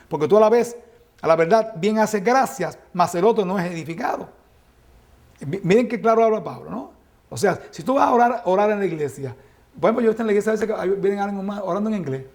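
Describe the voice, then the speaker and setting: High gravelly preacher. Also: male voice, a little mellow. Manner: explanatory, reads scripture then comments with rhetorical questions. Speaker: Bible teacher. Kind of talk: Bible study lecture.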